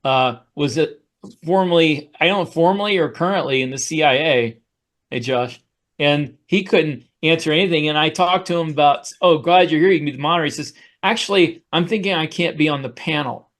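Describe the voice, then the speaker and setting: A man co-hosting a podcast, telling anecdotes in casual chat with a halting, rambling delivery. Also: fairly high pitch, fairly bright tone. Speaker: podcast co-host, author.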